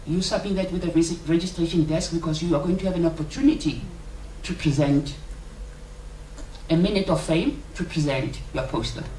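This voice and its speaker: A woman's voice: low voice, darkish tone. South African woman addressing delegates, roomy sound. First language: South Sotho. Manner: jokey, colloquial, addressing colleagues. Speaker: conference organiser giving welcome and housekeeping announcements. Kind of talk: informal conference announcements. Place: indoor conference venue, speaking to delegates. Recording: roomy, distant microphone, clear background noise.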